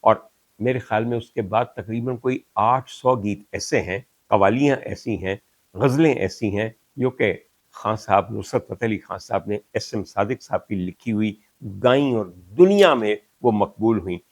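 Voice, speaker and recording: Older Pakistani male host, dry close sound, declaims poetry with slow emphasis. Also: on the low side, no room sound. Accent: Pakistani Urdu. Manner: declaiming Punjabi verses slowly and emphatically. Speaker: talk show host. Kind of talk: reflective monologue.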